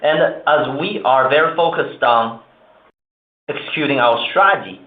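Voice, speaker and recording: A man speaking formally and in a measured way as a company executive on an earnings call. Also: mid-range voice. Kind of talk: earnings call remarks and answers. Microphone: conference call line. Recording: roomy, narrow phone-like conference line.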